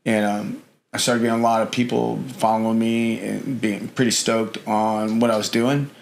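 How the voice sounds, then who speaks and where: A middle-aged man on a podcast with a low, gravelly voice that stays fairly flat. He rambles through his answers in a relaxed way, scattering fillers and "you know". The delivery feels unpolished and conversational. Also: normal speed, a little hiss or hum. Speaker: podcast guest. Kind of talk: casual interview chat.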